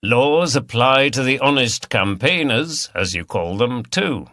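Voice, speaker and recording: A man voicing a terse, measured male chief inspector in an audiobook. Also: medium pitch, expressive, fairly bright tone, no room sound, clean studio sound. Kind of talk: audiobook character dialogue.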